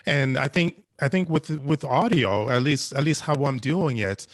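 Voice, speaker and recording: A man talking casually as a panelist, halting and often repeating his phrases. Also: mid-range voice, animated. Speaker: panelist, anthology podcast creator.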